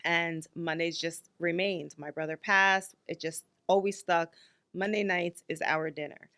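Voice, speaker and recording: A woman's voice: fairly low pitch, fairly even intonation, measured pace, sharp tone, clear, resonant tone. A woman in her fifties co-hosting a podcast, talking candidly and bluntly, with lots of filler likes. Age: 50s. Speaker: podcast co-host. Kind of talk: casual chat.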